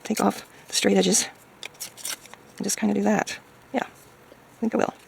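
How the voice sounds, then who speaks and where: A woman chatting casually as she crafts, thinking aloud hesitantly in a hoarse, gravelly voice. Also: mid-range voice, flat delivery, unhurried, slight background noise. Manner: hesitant, musing to self. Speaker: craft video maker.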